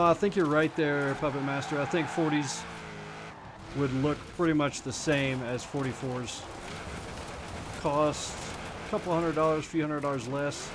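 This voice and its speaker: Easygoing 41-year-old man streaming games. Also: a little high. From Kentucky, USA. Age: 40s. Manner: easygoing, chatty, teasing viewers. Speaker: game streamer. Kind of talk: casual live-stream chat while racing. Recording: game car noise behind voice. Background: racing game engine sounds.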